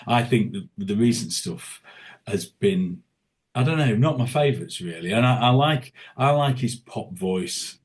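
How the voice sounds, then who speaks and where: A man's voice: lowish voice, animated, a little slow. A man who works as a tribute singer, talking as a podcast guest in a casual, rambling way with lots of restarts and fillers. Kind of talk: casual interview chat.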